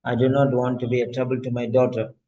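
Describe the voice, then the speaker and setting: A man voicing a frail ninety-year-old client who is moving to a retirement home, said in slow, halting phrases. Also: mid-range voice, level tone, warm tone, lo-fi sound, slight background noise, close to an everyday voice.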